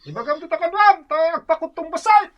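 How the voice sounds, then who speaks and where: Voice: very high-pitched, animated, fairly quick, fairly bright tone. A performer playing a cheeky street idler in a comedy radio drama, teasing and catcalling in a mocking way.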